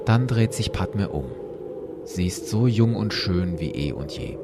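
Deep-voiced man narrating dramatically. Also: a little slow, very noisy. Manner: vivid, expressive retelling. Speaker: podcast host. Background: music.

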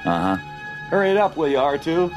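A man's voice: a little high, very animated, fairly quick, over music. A man playing a brash, cocky smuggler hero in an audio drama, curt and wry. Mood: impatient. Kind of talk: 1980s audio drama acting.

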